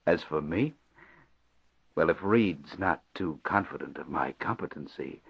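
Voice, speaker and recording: A scheming, smug doctor in an old radio crime drama. His voice sits very low and crackles with gravel, and he talks with sardonic deliberation through a muffled recording. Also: unhurried, heavily acted. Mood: coolly scheming. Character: scheming corrupt male doctor, coolly smug. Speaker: radio actor.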